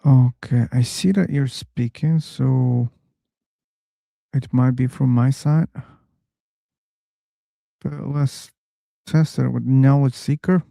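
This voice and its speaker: A man hosting a casual live online discussion room, chatty and quick to laugh. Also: medium pitch, gravelly, no room sound, clean studio sound. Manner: relaxed, chatty, laughs easily. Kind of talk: casual live-stream chat.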